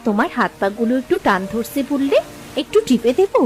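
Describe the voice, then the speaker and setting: Cartoon young wife female actor: fairly high, animated, gentle, placating, music underneath. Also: brisk. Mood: pleading. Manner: soothing, pleading. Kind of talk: Bengali cartoon dialogue.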